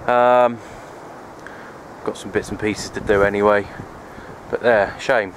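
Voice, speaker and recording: A man, rambling casually in a low-key, resigned way as he narrates a vlog on a handheld camera. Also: steady tone, takes it slowly, poor audio. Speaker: outdoor radio hobbyist vlogger. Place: outdoors on an open wooded hilltop. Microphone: handheld camera's built-in mic, held by speaker. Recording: outdoor handheld camera, wind and ambient noise.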